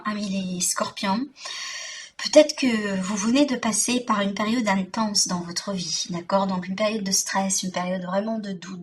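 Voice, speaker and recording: A woman's voice: medium pitch, fairly bright tone. A woman reading tarot for viewers informally, speaking directly to them with frequent check-in tags and emphatic, repetitive phrasing. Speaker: tarot reader, host. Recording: distant mic, faint background noise.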